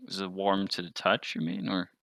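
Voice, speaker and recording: A man with a very deep but crisp-edged voice talks through a tabletop game as a player. He is casual yet precise and technical, in a close, dry recording. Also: level tone, moderate pace, a bit bright, dry recording.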